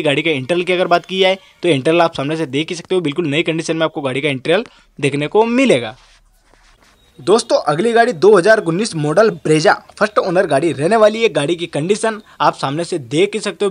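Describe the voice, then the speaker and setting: Fast, high-pitched man from India, vlogger doing a phone-filmed lot walkaround, repetitive sales patter. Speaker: vlogger touring a used car lot. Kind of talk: off-camera walkaround commentary. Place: outdoor used car yard under a shed.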